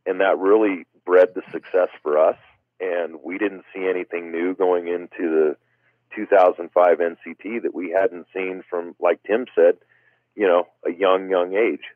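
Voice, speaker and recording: A man's voice: low-pitched, fairly even intonation, measured pace, dry recording. A man speaking as a guest in a casual interview, rambling with many "you know" fillers. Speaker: guest wiffle ball player. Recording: tinny phone line.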